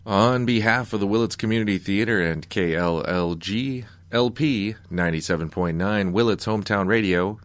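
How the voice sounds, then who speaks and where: Casting: American man, low voice, dry close sound, radio announcer reading scripted credits and station information. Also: no echo.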